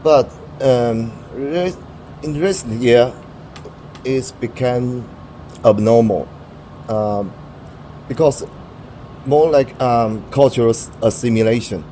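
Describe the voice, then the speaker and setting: A man's voice: medium pitch, mellow, a little hiss or hum, low-quality recording. A young Taiwanese man whose first language is Mandarin, answering interview questions in English and stopping often to restart his words. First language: Mandarin Chinese. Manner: halting, searching for words with frequent restarts.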